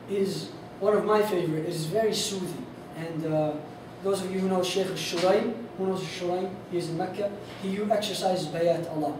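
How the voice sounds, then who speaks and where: Guy teaching casually, lots of ums, voice going hoarse, super echoey room far off mic. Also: sits high. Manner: casual, rambling, frequent fillers. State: losing his voice. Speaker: instructor on Quran recitation. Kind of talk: informal lesson with sung demonstrations. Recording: echoey hall from far off, faint noise.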